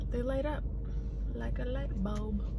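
A woman's voice: little pitch movement, unhurried, loud music behind the voice. Chatty young woman vlogging from her car. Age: young adult. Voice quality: husky, slightly low voice. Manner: chatty, rambling, laughs at herself. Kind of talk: casual driving vlog. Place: inside a parked or moving car. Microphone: phone camera on the dashboard. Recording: distant phone mic with road and car noise.

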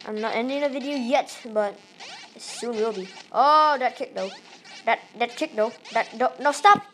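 A woman from Malaysia with a Malaysian accent, giving excitable let's-play commentary into a tablet microphone held up to her face, yelping and pleading at the game. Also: a little high, moderate pace, slight background noise, low-quality recording. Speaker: gaming channel host.

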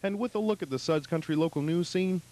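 A young man reading a local news bulletin on the radio in a measured newsreader style, into a studio boom mic. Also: a little mellow. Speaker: radio newscaster. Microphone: boom mic with headphones.

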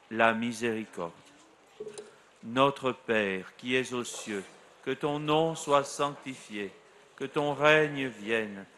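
A middle-aged man, a priest, leading a solemn recited prayer in measured, deliberate phrases. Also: mid-range voice, a bit bright, slight background noise. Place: outdoors at a grotto shrine. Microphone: microphone on a lectern.

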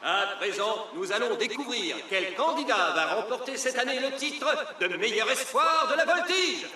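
A man's voice: expressive, moderate pace, slight background noise, full character voice. A man voicing a grand, showy stallion announcer in a French cartoon, declaiming like a ceremony host. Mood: grand, ceremonial. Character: pompous stallion announcer at a flying competition. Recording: booming echo, distant, loud music. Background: loud music.

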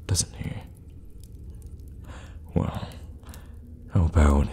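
A man playing a seductive, menacing evil warlock in an ASMR roleplay, teasing in a breathy murmur with halting pauses. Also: a little mellow, heavily acted. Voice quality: breathy low murmur, often whispering. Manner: slow, teasing, halting for effect. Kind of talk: intimate ASMR roleplay acting. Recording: long reverberant cave-like echo.

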